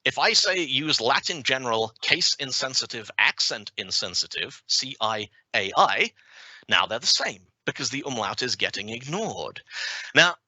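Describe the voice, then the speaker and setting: A British man delivers a tech conference talk in a bright, forceful voice that rises and falls a lot. He is wry and self-deprecating, with quick asides as he walks through a demo. The sound is close and dry. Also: mid-range voice, big pitch swings, no echo, poor audio. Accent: British English. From Britain. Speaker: conference speaker.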